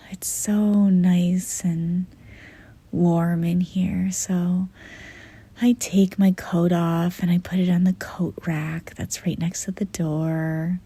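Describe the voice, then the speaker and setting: A woman softly narrating a guided visualization meditation in a gentle, breathy half-whisper, pausing often mid-sentence. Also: on the low side, level tone, no echo.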